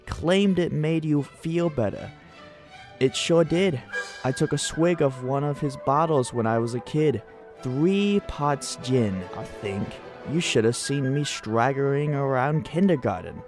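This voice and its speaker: A man's voice: mid-range voice, animated, measured pace, a little mellow, lightly acted. Man acting a folksy gossip over music. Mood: amused, gossipy. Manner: folksy, colloquial, chatty. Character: gossipy small-town local man, folksy and amused. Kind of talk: radio drama acting.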